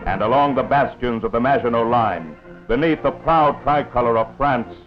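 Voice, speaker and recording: A man's voice: medium pitch, lively intonation, normal speed. A man narrating a 1940s wartime newsreel in a grave, oratorical, scripted style. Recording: dull lo-fi 1940s film soundtrack with music.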